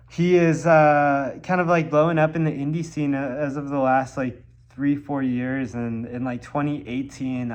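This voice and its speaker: Stuffy-nosed young dude from milwaukee just chatting, ums and likes everywhere, sounds a bit sick. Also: male voice, medium pitch. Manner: rambling, lots of ums and likes. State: congested from a cold. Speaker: musician vlogger. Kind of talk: casual vlog chat.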